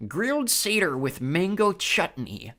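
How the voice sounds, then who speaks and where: A man booming as a slow brutish cyclops. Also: big pitch swings, bright, forceful tone, exaggerated voice. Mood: gruff brooding. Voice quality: slow, booming, halting broken grammar. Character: hulking one-eyed male cyclops, brutish.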